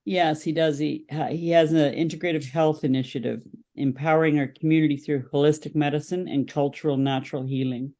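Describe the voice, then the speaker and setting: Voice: mid-range voice, little pitch movement, a little fast, mellow, dull audio. A podcast guest, speaking as a political campaign representative and explaining positions in an interview.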